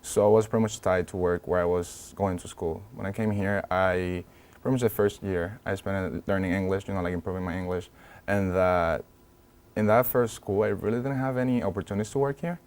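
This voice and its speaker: A man's voice: low-pitched, steady tone, a little fast, darkish tone. A young man from Venezuela, chatting easily as an interview guest and often saying "you know". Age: young adult. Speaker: student guest. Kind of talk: casual interview talk.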